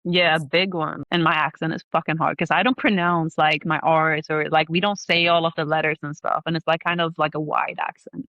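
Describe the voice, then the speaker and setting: A woman's voice: low voice, little pitch movement, a little fast, few pauses, no echo. Give me a chatty Swedish woman on a dull, lo-fi call line. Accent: Swedish, from the south of Sweden. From Sweden. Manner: chatty, fills every sentence with "like", swears freely. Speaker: podcast guest. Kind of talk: casual chat. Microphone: remote call.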